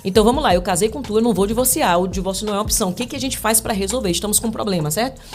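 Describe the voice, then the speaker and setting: A woman's voice: medium pitch, racing, a little mellow, soft background music. A woman in her thirties from Brazil, a guest on a podcast, talking earnestly about marriage and faith, with restarts and fillers. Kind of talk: podcast interview.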